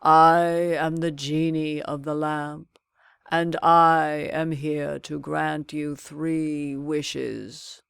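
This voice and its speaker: A woman's voice: sits low, little pitch movement, very slow, creaky, clear, resonant tone, no echo, studio quality, full character voice. A woman voicing a grand, obliging genie in a storybook, speaking with ceremony. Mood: grand, servile.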